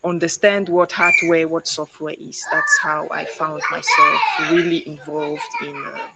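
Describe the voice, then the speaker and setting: A young woman from Cameroon, a guest speaker on an online panel, talking haltingly with many fillers and restarts. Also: low voice, wildly swooping pitch, creaky. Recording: noisy background.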